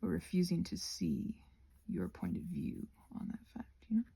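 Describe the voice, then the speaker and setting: A woman rambling casually in an ASMR style while crafting, musing aloud and gently reassuring, her soft breathy voice often slipping into a whisper. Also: lowish voice, big pitch swings, normal speed.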